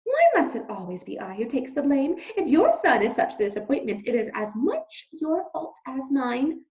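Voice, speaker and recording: A woman voicing a dramatic, dismissive mother mouse who talks in a haughty, theatrical, high-pitched way. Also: very animated, normal speed, a little mellow. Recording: lo-fi dull recording.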